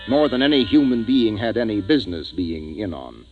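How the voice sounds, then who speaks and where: A man's voice: lowish voice, moderate pace, lightly acted. An actor playing a grave, decisive male spaceship captain who narrates his tale in a 1950s radio drama. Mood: grave narration. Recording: dull 1950s radio recording, music behind.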